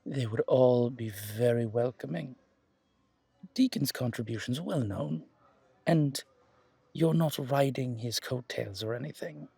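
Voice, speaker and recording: A man's voice: medium pitch, a little slow, vocal fry, mellow, husky, no echo. A man playing a genial, courteous museum curator in a roleplay audio drama, effusive and given to repeating himself. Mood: warm, welcoming. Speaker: voice actor.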